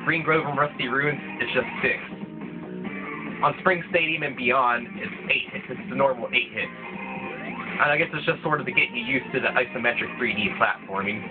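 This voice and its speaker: A man's voice: mid-range voice, moderate pace. A man with a slight cold, rambling through casual gameplay commentary with lots of restarts. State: voice thickened by a cold. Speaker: let's play gamer. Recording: tinny, phone-like lo-fi mic. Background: video game music playing.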